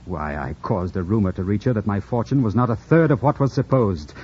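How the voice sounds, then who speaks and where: A brooding, imperious lord of the manor, with a lowish, husky voice. He speaks theatrically and passionately in old radio drama style. The recording sounds dull and lo-fi. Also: fairly quick. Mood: forceful. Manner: theatrical, imperious, swinging from hushed to forceful. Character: brooding, commanding, passionate gentleman master of a manor.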